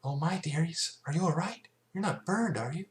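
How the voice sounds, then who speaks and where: A man voicing a timid, gentle, motherly young female pegasus pony in a cartoon story, with a hesitant manner. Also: fairly high pitch, lively intonation, moderate pace, roomy sound, voice pushed up, close to an everyday voice. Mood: fussing concern.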